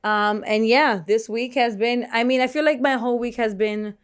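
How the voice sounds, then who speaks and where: A woman's voice: on the high side, steady tone, moderate pace, clean tone. Bantering woman co-hosting a casual podcast. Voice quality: softer voice than a loud speaker's. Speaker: podcast co-host. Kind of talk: casual chat.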